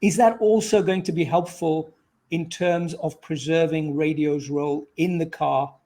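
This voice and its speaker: Higher-voiced male interviewer. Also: fairly even intonation, measured pace, warm tone. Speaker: interviewer, host. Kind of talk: conversational interview questioning.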